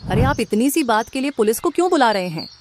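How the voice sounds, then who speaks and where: A woman's voice: fairly high pitch, big pitch swings, fast, a little mellow. A woman playing a merchant's wife in a cartoon, questioning and placating, with faint noise behind. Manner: questioning, protesting. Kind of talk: Hindi cartoon story voice acting.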